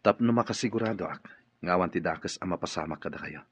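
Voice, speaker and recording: An actor playing a kindly, protective master of a mansion in a radio drama, measured and courteous. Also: male voice, deep voice, husky, no echo. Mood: protective, resolute. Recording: dull, lo-fi audio.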